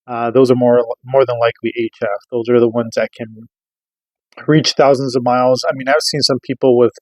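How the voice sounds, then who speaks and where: A man's voice: mid-range voice, flat delivery, a little fast, no room sound, low-quality recording. A middle-aged American man giving a casual product review, explaining things in an informal, rambling way. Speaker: radio reviewer.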